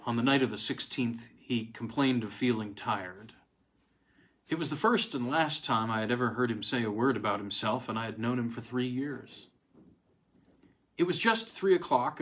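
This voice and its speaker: Male narrator for vintage weird fiction, mid-range voice, deliberate dramatic storytelling with pointed stresses. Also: medium pitch, a bit bright.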